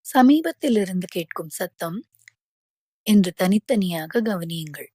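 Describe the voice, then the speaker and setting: A woman guiding a yoga nidra relaxation, giving calm, measured instructions with pauses between phrases. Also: fairly low pitch, dry recording. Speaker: yoga nidra meditation guide.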